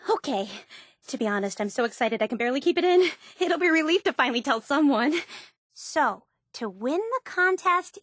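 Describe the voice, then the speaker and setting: A woman's voice: high voice, a bit bright, no room sound, clean studio sound. A woman voicing a bubbly, obsessive young female superfan in a video game, earnest and gushing. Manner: gushing, earnest, wide-eyed enthusiasm. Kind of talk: video game character voice acting.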